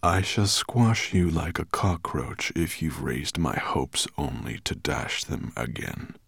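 Deep, booming, growling dragon tyrant. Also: male voice, sing-song, takes it slowly, creaky, a bit bright, breathy edge, no echo, clean studio sound, exaggerated voice. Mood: menacing. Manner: imperious, snapping, contemptuous. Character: huge grumpy menacing male dragon master, bad-tempered tyrant.

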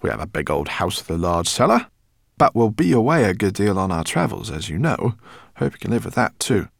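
A man voicing an excitable, kindly gray-haired professor with a hearty, exuberant manner in a storybook. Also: on the low side, brisk, creaky, no room sound, clean studio sound, full character voice. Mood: happy, hearty.

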